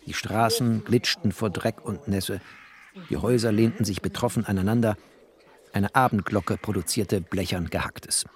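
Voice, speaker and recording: A man's voice: lowish voice, normal speed. A man narrating a literary audio drama in a wry, descriptive way.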